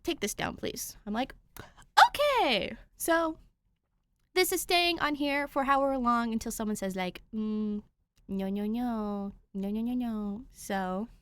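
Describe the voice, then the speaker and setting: A woman's voice: on the high side, expressive, a little slow, clear, resonant tone, no echo. A woman hosting a channel, talking casually and informally to listeners with emphatic stresses. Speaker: podfic reader and channel host.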